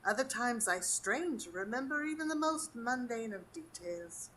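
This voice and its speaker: Grieving elderly matriarch, played by a woman, gentle and wistful, high and bright, live radio drama. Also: expressive, moderate pace, poor audio. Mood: wistful, sorrowful. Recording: live stage recording, faint ambient noise.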